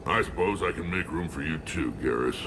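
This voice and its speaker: An actor playing a huge, blunt, fierce alien warlord in a video game, with a growling, gravelly voice. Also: male voice, extremely low pitch, animated, fairly quick, noisy background. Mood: gruff warmth. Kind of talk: video game cutscene acting.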